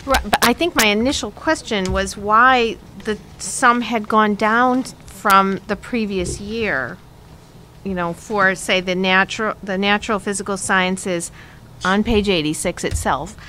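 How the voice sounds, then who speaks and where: A woman's voice: medium pitch, unhurried, pushed and bright, a little hiss or hum, low-quality recording. A woman on a school board asking probing questions about a budget, hesitant, with many fillers. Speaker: board member. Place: public meeting room.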